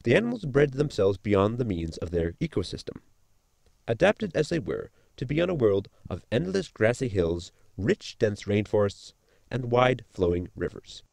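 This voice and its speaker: An actor playing an articulate, bookish science officer in a sci-fi comedy audio drama, speaking formally and precisely. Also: male voice, fairly low pitch, big pitch swings, moderate pace, gravelly, a little mellow, dry recording. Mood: earnest, analytical. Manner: formal, precise, long-winded.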